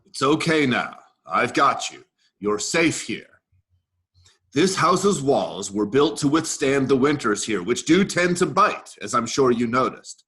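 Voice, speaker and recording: A man's voice: medium pitch, expressive, a little slow, fairly bright tone. A man in a staged play reading, playing a macho G.I. Joe soldier doll, cocky and protective, with tough-guy endearments. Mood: protective, reassuring.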